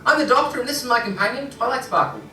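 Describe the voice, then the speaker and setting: A woman playing a polite, curious time-travelling pony doctor in an audio drama, speaking in an animated way. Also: a little high, rapid-fire, recorded from a distance. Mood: curious, chatty. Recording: echoey playback in a room, music.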